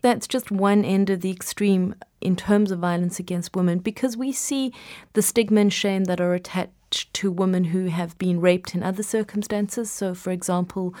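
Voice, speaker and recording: A woman's voice: mid-range voice, fairly even intonation, moderate pace, mellow. A woman who works as a human rights advocate, answering an interview question in a thoughtful, articulate way.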